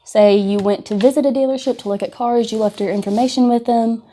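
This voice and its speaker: A woman's voice: a little high, fairly even intonation, a little fast, dark tone. A woman giving an informal instructional talk in a conversational, explanatory, cautionary manner. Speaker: presenter of a scam awareness class.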